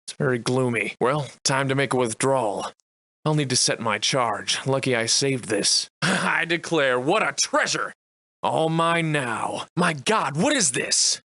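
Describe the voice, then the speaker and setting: Theatrical, wry old-world monster slayer, dry recording. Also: male voice, mid-range voice, bright, forceful tone, dry recording, full character voice. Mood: greedy, intrigued, then alarmed. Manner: florid old-fashioned diction, wry, theatrical soliloquizing. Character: adult male Victorian-era adventurer, wry, defiant gunslinging monster slayer. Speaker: voice actor. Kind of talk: theatrical video game voice lines in archaic English.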